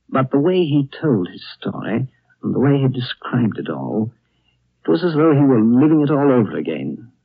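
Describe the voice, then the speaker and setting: An actor playing a reflective gentleman who recounts a strange tale in a deliberate, dramatic 1930s radio style. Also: mid-range voice, gravelly, slightly rough, full character voice. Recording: narrow-band 1930s radio recording, faint music.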